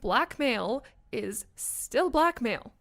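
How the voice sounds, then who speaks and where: A woman's voice: fairly high pitch, expressive, very slow, vocal fry, fairly bright tone, exaggerated voice. A young woman with an American accent playing an earnest, long-suffering best friend in an audio drama, dry and fond. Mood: exasperated, teasing. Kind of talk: naturalistic audio drama acting.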